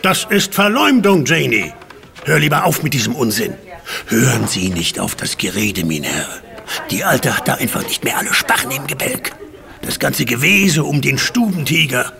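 An actor playing a village innkeeper in a radio play, scolding and folksy, with a servile streak. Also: male voice, medium pitch, very animated, normal speed, vocal fry, sharp tone, full character voice. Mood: scolding, dismissive. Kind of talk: German radio play acting.

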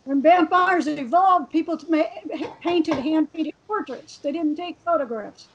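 A woman joins a panel from home over a video call. She thinks aloud in slow, drawn-out phrases. Her high voice comes through dull and muffled. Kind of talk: casual panel discussion. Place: home room.

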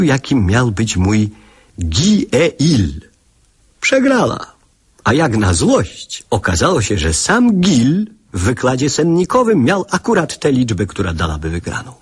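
A man playing a reflective narrator who recalls his childhood in measured, literary radio drama storytelling. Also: mid-range voice, exaggerated voice. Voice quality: husky.

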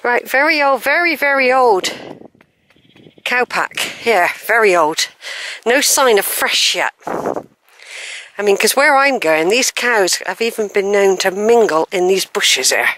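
A woman's voice: mid-range voice, animated, a little slow, fairly bright tone, slight background noise, low-quality recording. An older woman, chatting casually to camera as she walks through open fields, rambling with asides and self-corrections. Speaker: walking vlogger. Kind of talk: chatty walking commentary to camera. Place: open hilly fields outdoors. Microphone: handheld camera mic while walking.

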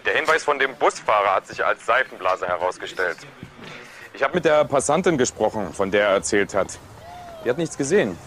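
An actor playing a sceptical, matter-of-fact junior detective, reporting in a wry way in a crime radio drama. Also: male voice, fairly low pitch, expressive, brisk, sharp tone.

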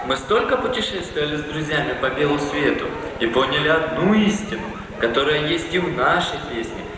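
A man's voice: on the high side, expressive, a little fast, crowd noise, lightly acted. A man playing a friendly wandering musician in a children's New Year stage play. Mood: cheerful. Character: friendly wandering musician travelling with his band. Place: theatre stage, recorded from the audience. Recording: distant, echoey hall camcorder recording.

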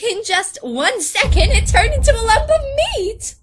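Mocking schoolgirl bully, very high, swooping, cruel glee, anime-style dub. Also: big pitch swings, moderate pace.

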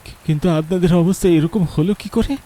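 A man's voice: sits high, fairly quick, warm tone, slight background noise. A man narrating a horror story in a dramatic, fearful way.

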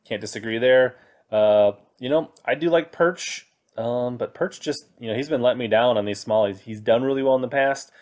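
A man's voice: medium pitch, moderate pace, a little mellow. A man in his thirties hosting a fantasy fishing podcast, chatting casually through his picks with plenty of fillers. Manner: conversational, many fillers, reading from web pages. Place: indoor room, screen recording with webcam inset.

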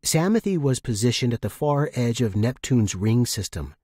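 Male story narrator, husky tone, very varied intonation, first-person fiction. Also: mid-range voice, animated, moderate pace, warm tone, dry recording, clean studio sound.

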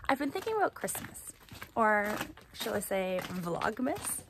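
A woman's voice: medium pitch, very animated, a little slow, heavy background noise. A woman chatting casually to camera as a family vlogger, recorded on a handheld camera. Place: small family home and yard outdoors. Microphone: camera or phone at arm's length.

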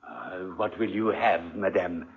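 An obliging café waiter in old radio drama. He speaks politely in a fairly low voice. The sound is dull and dated. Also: a little slow, husky, heavily acted. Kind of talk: 1940s radio drama acting. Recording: dull 1940s radio recording.

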